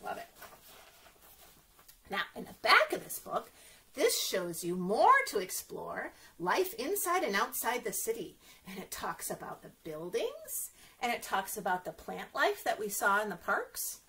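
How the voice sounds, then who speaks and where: A woman's voice: mid-range voice, huge pitch swings, measured pace, pushed and bright, rough recording. A woman leading an interactive story time as a children's librarian, encouraging and sing-song, often putting questions straight to young listeners.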